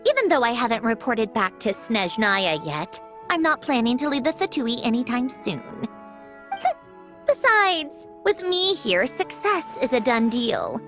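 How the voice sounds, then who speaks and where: An actress voicing a self-assured, haughty young woman scientist in a game, explaining things in a crisp, condescending way. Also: fairly high pitch, a bit bright. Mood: confident, smug. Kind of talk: scripted video game character dialogue. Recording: game music behind the voice.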